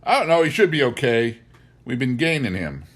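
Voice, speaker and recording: A man's voice: medium pitch, big pitch swings, normal speed, fairly bright tone, husky, pitched above the performer's own voice. A man voicing a brash, dim rustic neighbor in an audiobook, with a folksy, blurting delivery. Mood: clueless. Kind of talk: audiobook character voice.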